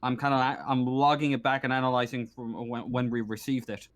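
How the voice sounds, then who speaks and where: A man's voice: mid-range voice, flat delivery, a little fast, close to an everyday voice. A man voicing a stern, impatient space-station marshal in a tabletop game, swearing and stumbling over words in exasperation. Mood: frustrated.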